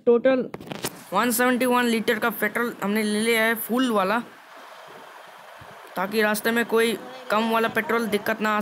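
A man with an Indian accent giving casual live gameplay commentary as a video host, often repeating phrases and mixing in English words. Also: very high-pitched. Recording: noisy amateur screen recording with ambient sound.

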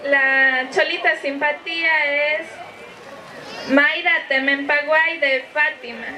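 Lady calling out winners, slow and bright, bit of crowd behind. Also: sits high, steady tone. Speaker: award announcer. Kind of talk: slow ceremony announcements. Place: outdoor event stage. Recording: distant PA with crowd noise.

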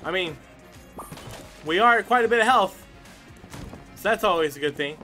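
High-pitched, animated male gamer. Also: normal speed. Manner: exclamatory, swearing, talking to himself and the game. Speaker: gaming video host. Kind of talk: casual excited gameplay commentary. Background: game music.

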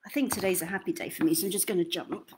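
A young woman reading picture books aloud to children in an expressive, theatrical way, drawing out key words. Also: fairly low pitch, slight background noise. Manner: theatrical, deliberate, drawn-out delivery of key words. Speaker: children's storytime reader. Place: small indoor room at home.

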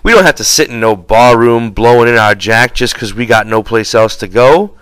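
A man's voice: mid-range voice, normal speed, a bit bright, clear, resonant tone, no echo, lightly acted. A man voicing an impatient but protective migrant ranch hand in an audiobook, with blunt, folksy rural speech. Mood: dreamy, rhythmic.

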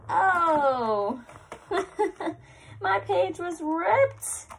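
A young woman, a preschool teacher, reading a picture book aloud to small children at home in a gentle, animated storytime voice. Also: very high-pitched, very slow, creaky, slight background noise. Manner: gentle, animated storytime voice, lifts up on repeated lines. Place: small room at home, indoors.

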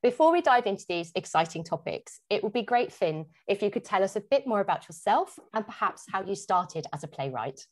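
A woman's voice: medium pitch, very animated, a little fast, few pauses. A woman host speaking in a scripted, presenterly way as she introduces an interview.

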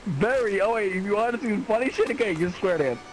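A man's voice: high voice, expressive, fast talker, faint music behind. A man joking around with other players over in-game voice chat, goofy and showing off. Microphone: in-game voice chat mic. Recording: noisy voice chat mic.

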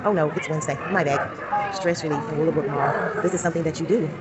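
A woman's voice: sits low, quick pace. A woman giving an upbeat, repetitive running commentary while filming a friend shopping in a large indoor mall. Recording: loud music and mall crowd noise.

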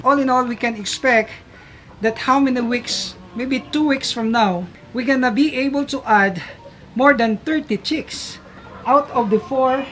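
A man's voice: very high-pitched, fairly bright tone, poor audio. A middle-aged man, a farm vlogger, giving an upbeat casual tour of his outdoor farmyard and coop while explaining to viewers.

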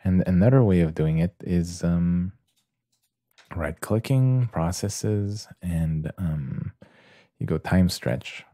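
A man presenting a casual software tutorial in a hesitant, halting way with many pauses. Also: deep voice, expressive, dry recording, clean studio sound.

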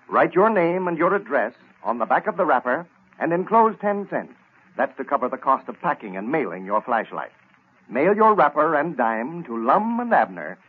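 A man's voice: on the high side, husky. A man announcing in 1930s radio style, delivering sponsor copy with emphatic salesmanship. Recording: muffled, thin old radio recording.

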